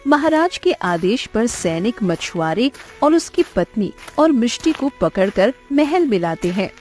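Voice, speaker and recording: A woman narrates a cartoon tale in scripted storybook style. Her voice sits a little high, with music playing softly beneath it. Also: sing-song, measured pace. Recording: music under the voice. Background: background music.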